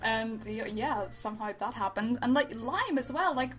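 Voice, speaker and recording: A woman's voice: on the high side, expressive, normal speed, music in the background. A woman co-hosting a casual review show, talking in an informal, rambling, giggly way. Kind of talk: casual chat, reviewing amateur voice acting.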